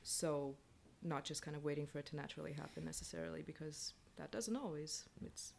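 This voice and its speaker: A woman chatting in a casual panel discussion, tentative and measured in how she offers her view. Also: deep voice, vocal fry, darkish tone, slight background noise.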